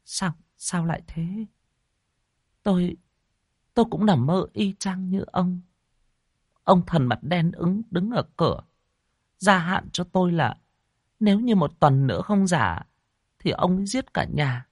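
A man voicing a worried elderly wife who pleads with her husband in a raised, soft voice. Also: a little high, husky, dry recording, clean studio sound, pitched above the performer's own voice, full character voice. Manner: pleading, fretful.

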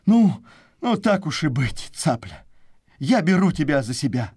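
A man's voice: on the high side, unhurried, husky, dry recording, studio quality, full character voice. A man voicing a proud, fickle crane courting in a folksy, courtly way in an animal tale. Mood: relenting. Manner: folksy, courtly, expressive intonation.

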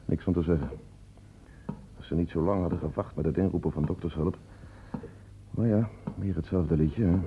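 An actor playing a matter-of-fact, world-weary hospital doctor, reporting tersely in a radio play. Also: male voice, sits low, moderate pace, warm tone. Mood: resigned. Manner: colloquial, terse clinical reporting. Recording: tinny, noisy old radio play recording.

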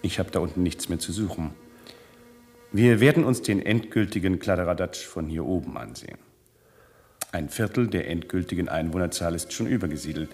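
A coolly cynical insider of a space colony. The voice is deep and the delivery deliberate and self-assured, in 1970s radio drama style. Music plays faintly behind it. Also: male voice, darkish tone. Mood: casually cynical. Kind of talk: 1970s German radio play acting.

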